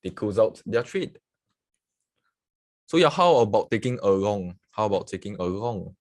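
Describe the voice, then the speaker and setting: A man's voice: lowish voice, animated, normal speed, dry recording, studio quality. A man from Singapore who teaches forex trading on a livestream, talking casually through chart examples and often saying "so yeah". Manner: casual, repeats "so yeah", greets viewers from the chat. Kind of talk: live screen-share market analysis with chat.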